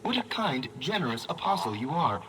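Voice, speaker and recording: A man's voice: fairly high pitch, sing-song, brisk, poor audio. A man playing a polite, servile ancient clockwork automaton in a video game, speaking formally and with quaint precision. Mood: cheerful, earnest. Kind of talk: video game character dialogue. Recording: game music over the voice. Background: loud game music.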